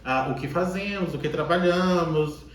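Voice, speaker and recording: A man's voice: fairly high pitch, normal speed. A middle-aged Brazilian man co-hosting a podcast, chatting casually and joking. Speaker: podcast co-host. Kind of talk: casual chat. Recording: very echoey room.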